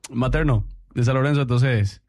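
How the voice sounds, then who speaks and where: Paraguayan man in his thirties, medium pitch. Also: normal speed, darkish tone. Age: 30s. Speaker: sports panelist. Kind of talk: casual sports chat.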